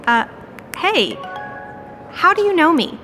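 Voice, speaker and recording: An actress playing a polite, hesitant nonprofit teacher in a naturalistic audio drama, courteous and tentative. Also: female voice, extremely high pitch, big pitch swings, takes it slowly, pushed and bright, faint music behind, full character voice, poor audio. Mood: surprised, hesitant. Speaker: actor in a scripted audio drama.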